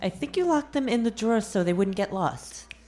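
A woman's voice: mid-range voice, expressive, a little fast, a little mellow, faint music behind, lightly acted. A woman playing an efficient, dutiful longtime nurse in a radio play, businesslike and deferential. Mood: dutiful, brisk. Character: efficient, dutiful female nurse of many years.

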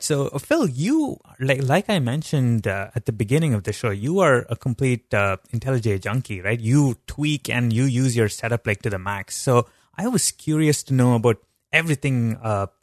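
A man hosts a podcast in a loose, chatty manner, stringing questions together with lots of "like." His voice sits at an ordinary pitch and sounds dry, with no room around it. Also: no room sound. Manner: chatty, many "like" fillers. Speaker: podcast host. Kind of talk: casual podcast interview.

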